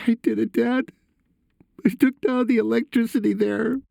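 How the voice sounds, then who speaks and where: Man voicing a whiny, high-pitched frightened boy. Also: normal speed, vocal fry, warm tone, no echo. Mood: shaky confession. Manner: whiny, shaky, pleading. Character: frightened tearful teenage son confessing on the phone.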